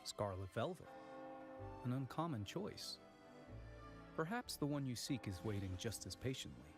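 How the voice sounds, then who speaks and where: Game role: male bartender, polite, formal, cryptic, mid-range voice, music clearly underneath. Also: medium pitch, sing-song, moderate pace. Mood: courteous, cryptic. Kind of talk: scripted video game voice acting.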